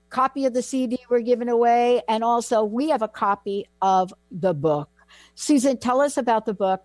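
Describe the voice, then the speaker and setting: A woman's voice: a little high, darkish tone, no room sound. A woman hosting a talk radio show, gushing and effusive as she welcomes her guests back.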